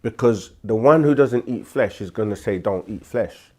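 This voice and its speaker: A man hosting a live question-and-answer stream, speaking with a sore throat and a congested voice, deliberate and full of restarts. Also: on the low side, a little mellow. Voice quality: slow, deliberate delivery with frequent restarts. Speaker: host answering viewer questions. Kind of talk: livestream Q&A teaching.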